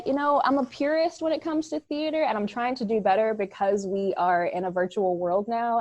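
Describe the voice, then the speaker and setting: A woman actor and playwright chatting as a guest on a video call, laughing often and filling pauses with ums. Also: medium pitch, darkish tone, no room sound, highs cut off. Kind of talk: casual video-call conversation.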